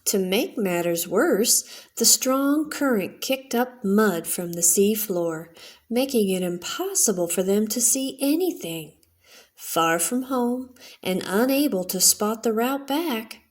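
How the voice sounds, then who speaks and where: A middle-aged woman reading a children's story aloud as a storyteller, in a deliberate, expressive way. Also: medium pitch. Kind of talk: bedtime story narration, read aloud.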